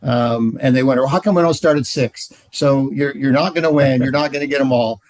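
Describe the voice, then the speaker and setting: A man's voice: medium pitch, fairly quick, a little mellow, no echo, rough recording. Earnest man with a dry close sound. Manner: plain, earnest, many "uh" fillers. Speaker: marriage ministry leader. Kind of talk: training session talk.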